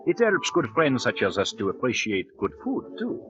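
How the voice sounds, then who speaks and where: A man's voice: medium pitch, wildly swooping pitch, normal speed. Suave male legation host, swooping intonation, genial, boastful, music behind, old radio advert. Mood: suave, proud. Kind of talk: 1940s radio commercial acting. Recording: dull 1940s radio recording, music behind.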